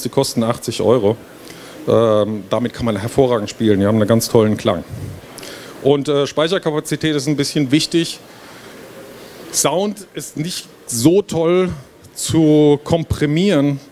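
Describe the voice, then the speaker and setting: Middle-aged man presenting over hall noise. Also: medium pitch. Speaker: university professor presenting a project. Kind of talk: conference presentation, explanatory and informal. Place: stage in a book fair hall before a small audience. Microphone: handheld microphone. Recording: steady trade-fair hall noise.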